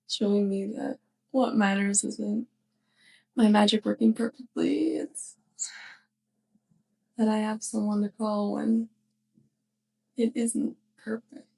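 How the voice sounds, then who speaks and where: A woman playing a sweet, clumsy young witch neighbor in an intimate ASMR roleplay, speaking softly and hesitantly with shy affection. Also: mid-range voice, little pitch movement, takes it slowly, long pauses, heavily acted, rough recording. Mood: tender, sincere. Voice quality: soft, breathy. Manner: hesitant, giggly rambling.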